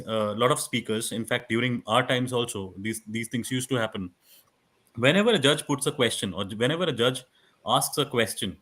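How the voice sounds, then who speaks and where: Indian guy with a loud voice, workshop guest explaining stuff, restarts his sentences a lot, mid pitch. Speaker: guest expert on moot court. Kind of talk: conversational advice in an online workshop.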